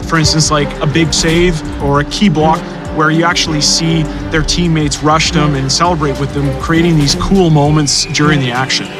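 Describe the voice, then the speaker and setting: Upbeat, higher-voiced man presenting over music. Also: steady tone, normal speed, hardly any pauses. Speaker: game developer being interviewed. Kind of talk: promotional interview, enthusiastic explanation. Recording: music behind voice.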